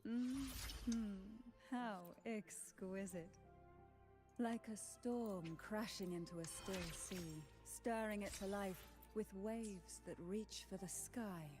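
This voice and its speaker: A woman's voice: mid-range voice, takes it slowly, natural voice. An actress playing an elegant, enigmatic woman in a video game, with a languid, poetic delivery. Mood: languid, enchanted. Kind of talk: video game character acting. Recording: game audio under music.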